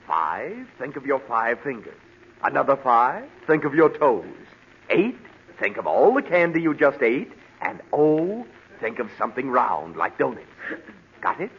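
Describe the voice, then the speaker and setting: A man's voice: mid-range voice, very animated, a little slow, breathy edge, heavily acted. A man playing a pompous, insistent, easily riled doctor in 1940s radio comedy, officious, formal and emphatic. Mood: instructive. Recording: muffled vintage 1940 radio recording, faint noise.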